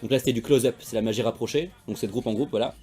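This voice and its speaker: Fast-talking young French man, slangy. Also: medium pitch, music in the background. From France. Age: young adult. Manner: fast slangy rambling talk. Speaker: magician guest. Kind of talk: casual interview chat.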